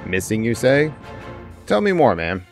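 A man's voice: mid-range voice, very animated, measured pace, creaky, soft background music. A man playing an intrepid, confident private detective in a theatrical old-time radio serial style. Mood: reassuring. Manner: unflappable, theatrical. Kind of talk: 1940s radio detective acting.

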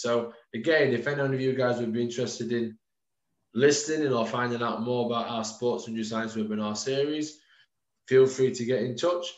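A man in his thirties, a strength and conditioning coach, giving an informal webinar presentation over a video call, with frequent ums, calling his listeners guys. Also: mid-range voice. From United Kingdom. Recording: roomy, dull lo-fi webcam audio.

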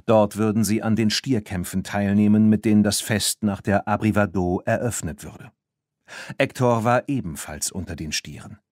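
A man narrating a children's story from a script in an expressive, animated way. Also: on the low side, normal speed, dry recording, studio quality. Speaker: audiobook narrator.

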